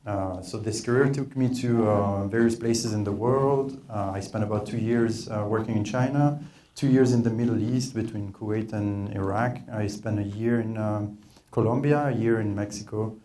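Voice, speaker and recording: Low-voiced man with a French accent. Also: steady tone, normal speed, mellow. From France. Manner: hesitant, many filler "uh"s. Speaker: presenter, PhD student. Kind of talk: conference presentation.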